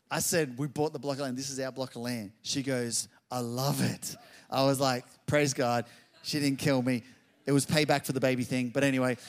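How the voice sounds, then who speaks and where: A middle-aged man delivers a sermon in a slightly raised voice. He tells his story in a lively, conversational way. He then swells into loud, fervent exclamations. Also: on the high side. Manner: animated, builds to loud exclamations. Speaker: pastor.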